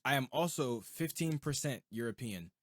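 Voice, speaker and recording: A young man co-hosting a podcast in casual chat, rambling and quick to laugh. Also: medium pitch, lively intonation, no room sound, clean studio sound. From United States. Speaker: podcast co-host.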